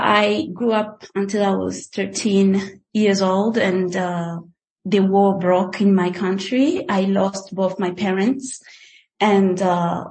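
A young woman from the Democratic Republic of Congo speaks as an interview guest. She talks slowly and unhurriedly, pausing often and filling gaps with soft hesitations as she recounts her own story. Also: lowish voice, creaky, low-quality recording. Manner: slow, hesitant, many pauses and fillers. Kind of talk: personal life story in an interview.

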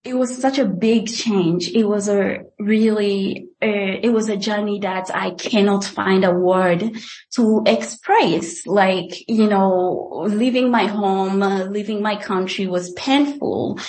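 Slow-speaking woman from the Congo. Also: medium pitch, darkish tone, a little hiss or hum. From Democratic Republic of Congo. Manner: slow, hesitant, many pauses and fillers. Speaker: guest. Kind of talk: personal life story in an interview.

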